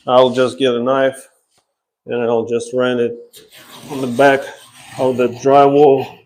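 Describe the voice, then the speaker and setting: A man with a Ukrainian accent talks at an unhurried pace, his pitch in the middle range. He gives casual, hands-on explanations as a tradesman streaming live, pausing while he works. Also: darkish tone. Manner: explains while working, pausing as he handles tools. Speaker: drywall installer, live stream host. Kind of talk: casual live work commentary. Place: laundry room under renovation, indoors.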